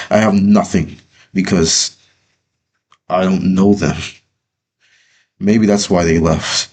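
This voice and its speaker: A man playing a brooding, driven orphaned boy in an earnest, halting, melodramatic storybook reading. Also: low-pitched, slightly rough. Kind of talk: dramatic first-person storybook read-through.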